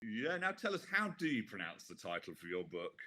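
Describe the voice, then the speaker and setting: A man's voice: fairly low pitch, sing-song, sharp tone. A man hosting a podcast, talking with a guest over a video call in a chatty, upbeat way. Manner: upbeat and chatty, quick to laugh. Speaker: podcast host. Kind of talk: casual interview.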